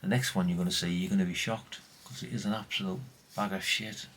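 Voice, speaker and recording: Deep, bright-voiced man. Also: steady tone, fast, a little hiss or hum. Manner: colloquial, chatty, frequent tag questions. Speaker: vlogger, radio collector. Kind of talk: casual rambling vlog chat.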